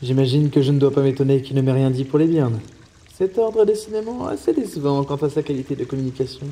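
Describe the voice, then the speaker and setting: An actor playing a composed, wry investigator in command, in a French audio drama, speaking in a measured, dry, authoritative way. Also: male voice, medium pitch, warm tone, natural voice. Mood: wry, determined. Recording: noisy urban ambience.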